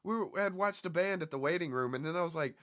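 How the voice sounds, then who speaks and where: A man's voice: a little high, quick pace, a bit bright. A man from the Southern United States, a comedian, chatting casually and profanely as a podcast guest.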